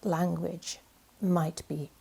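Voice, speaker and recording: Poet, woman, mellow and gravelly, thinks aloud in a halting way. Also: lowish voice, little pitch movement. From Wales. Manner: hesitant, many fillers and restarts. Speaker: poet being interviewed. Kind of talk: casual interview chat.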